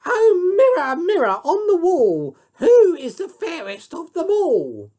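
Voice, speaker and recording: A woman's voice: very high voice, wildly swooping pitch, slow, warm tone, voice pushed up, exaggerated voice. A woman voicing a vain, furious queen who screeches threats.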